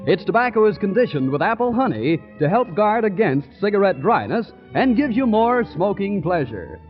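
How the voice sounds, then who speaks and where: High-pitched, animated male radio announcer. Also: moderate pace, soft background music. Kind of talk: 1940s scripted radio ad read. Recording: dull lo-fi 1940s radio recording.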